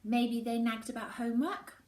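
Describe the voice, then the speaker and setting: A woman speaking as a primary teacher reading aloud to children, with expressive storybook delivery. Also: fairly high pitch, moderate pace. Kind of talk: expressive children's storybook narration with casual greetings. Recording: echoey room, faint background noise.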